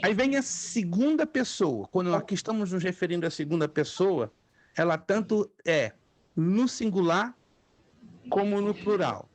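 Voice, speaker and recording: A middle-aged man whose first languages are Spanish and Hebrew leads a class, repeating each word so students can echo it. His voice sits fairly high for a man. The sound is close and dry, with no room around it. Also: animated, a little slow. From Brazil. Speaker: theology teacher. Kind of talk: online class lecture.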